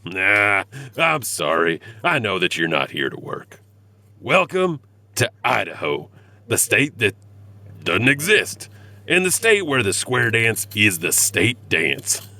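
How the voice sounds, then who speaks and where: A man's voice: fairly low pitch, sing-song, a little slow, pushed and bright, full character voice. A man playing a folksy, grumbling, joking janitor who hosts a horror anthology in a theatrical monologue. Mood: jovial. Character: chatty grumbling janitor peeling potatoes, spooky story host.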